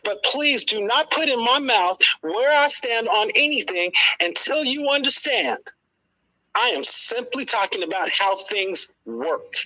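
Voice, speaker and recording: A debater in their sixties with a bright, forceful voice, speaking in a live online discussion. The delivery is insistent and explanatory, circling back to points to drive them home. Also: mid-range voice, animated, unhurried, low-quality recording. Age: 60s. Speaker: panelist in a live audio discussion.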